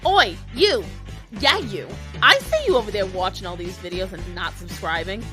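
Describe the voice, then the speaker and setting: Lady doing a bratty bossy girl character, slangy and sweary, bright punchy voice, swings all over. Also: mid-range voice, animated, normal speed, soft background music. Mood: brash, taunting. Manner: confrontational, sassy, swearing, slangy. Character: brash, foul-mouthed young redhead woman with bossy sass. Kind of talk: in-character live Q&A banter.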